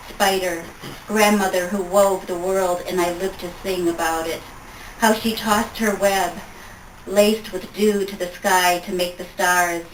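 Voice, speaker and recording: A woman reading poetry aloud at a live event, measured and in short separate phrases. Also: on the low side. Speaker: poetry reader at a tribute event. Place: live event room, recorded from a distance. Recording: echoey room, distant mic, faint noise.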